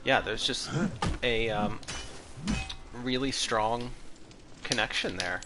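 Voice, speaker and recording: A man's voice: medium pitch, slow. A man from Texas, an Egyptologist, chatting casually about history while playing a game, rambling with lots of fillers. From Texas, USA. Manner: rambling, filler-heavy, thinks aloud. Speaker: Egyptologist streamer. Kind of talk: casual gaming commentary with history asides. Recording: steady background noise.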